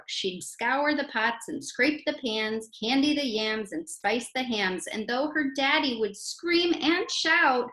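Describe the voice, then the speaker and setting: An American woman reading poems aloud to children in an expressive way, speaking directly to her young listeners. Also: on the high side. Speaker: children's poem reader and teacher. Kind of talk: animated read-aloud for children. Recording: roomy, echoey sound.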